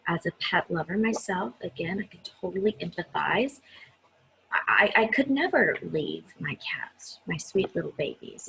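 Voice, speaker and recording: A woman with a breathy voice reads a story aloud expressively, speaking directly to young listeners and adding her own asides. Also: on the low side, fairly bright tone, a little hiss or hum, poor audio. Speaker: children's story reader.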